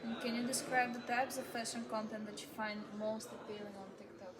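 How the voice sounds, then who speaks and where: A woman's voice: fairly high pitch, steady tone, brisk, a loud crowd. A woman interviewer asking questions in a casual research interview. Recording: noisy room ambience.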